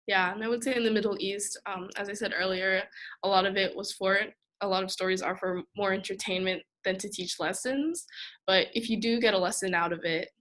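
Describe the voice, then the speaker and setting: Young woman student presenter, easygoing mid-pitched voice, loose chatty delivery. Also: steady tone, fairly quick, fairly bright tone. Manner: chatty, many ums and likes. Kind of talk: casual panel talk.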